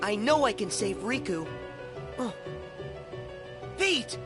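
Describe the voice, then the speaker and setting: Plucky, high-voiced boy hero. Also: sing-song, slow pace, full character voice. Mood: determined. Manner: earnest, impulsive. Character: plucky, hot-headed, determined teenage boy hero. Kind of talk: video game voice acting. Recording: game music under the voice.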